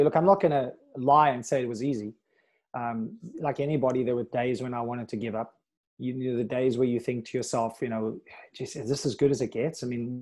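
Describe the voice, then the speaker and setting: A middle-aged man from South Africa telling his life story as a podcast guest, earnest and steady, with pauses and fillers, on a video call. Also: medium pitch. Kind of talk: candid life story in a conversation. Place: small room with a plain wall. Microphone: wired earbud mic on a video call.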